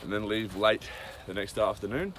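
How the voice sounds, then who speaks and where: Man, lowish voice, rambling with ums, outdoor camera audio with clear background noise. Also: expressive, normal speed, fairly bright tone. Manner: rambling, many ums and tangents. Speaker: long-distance hiker. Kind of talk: casual daily trail vlog. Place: outdoors on a cold trail.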